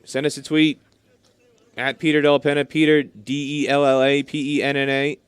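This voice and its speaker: A man's voice: mid-range voice, flat delivery, moderate pace, clean tone, no room sound. A man doing live cricket commentary in an enthusiastic, talkative way, explaining things as he goes. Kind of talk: live sports commentary with chatty asides.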